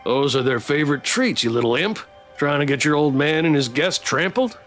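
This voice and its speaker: A man voicing a big, warm, good-natured farmer dad from a visual novel, teasing and mock-dramatic, with music behind. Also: on the high side, animated, moderate pace, pitched above the performer's own voice. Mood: mock outrage.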